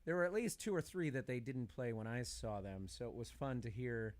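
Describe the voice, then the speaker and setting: A man's voice: medium pitch, fairly quick. A middle-aged man in a casual podcast chat, talkative and opinionated. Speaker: podcast speaker.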